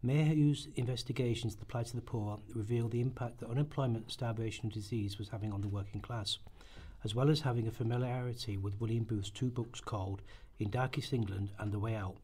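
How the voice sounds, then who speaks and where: Male narrator for documentary radio piece: husky, measured, rehearsal-style script reading. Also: medium pitch, fairly even intonation, dark tone.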